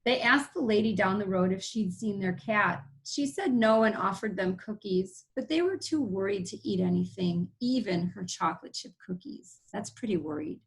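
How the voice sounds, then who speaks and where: A woman teacher reading a picture book aloud, pausing to explain things simply to young listeners. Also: medium pitch, animated, rough recording.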